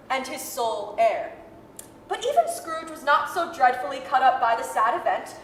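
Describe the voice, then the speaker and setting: A woman narrating a story dramatically, with emphatic, deliberate phrasing. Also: high voice, a bit bright. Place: performance hall stage. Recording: echoey hall with reverb, faint noise.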